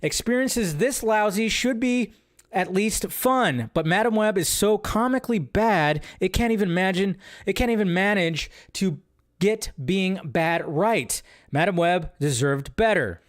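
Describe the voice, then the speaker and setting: A man with a somewhat high voice hosts a loose live stream about films. He rambles, backtracks and restarts his sentences as he talks. His delivery is casual and offhand. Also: animated, a little slow. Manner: rambling, restarts a lot, laughs at his own jokes. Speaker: host. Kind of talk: casual live reaction commentary.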